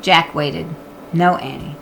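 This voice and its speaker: A woman narrating a children's book in an expressive storytelling style. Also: low-pitched, slow pace, creaky, slight background noise, poor audio. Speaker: audiobook reader.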